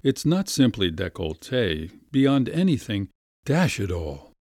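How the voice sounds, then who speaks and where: A man's voice: fairly low pitch, very animated, a little slow, darkish tone, no room sound, clean studio sound. A man voicing a polite middle-aged family doctor who hesitates in embarrassment. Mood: flustered. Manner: hesitant, mildly flustered.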